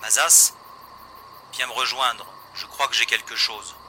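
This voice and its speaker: A man's voice: mid-range voice, fairly even intonation, a little slow, pushed and bright, rough recording. Man acting young spaceship pilot over background noise. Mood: concerned. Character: young male spaceship pilot, easygoing optimist turning worried. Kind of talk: French sci-fi audio drama acting.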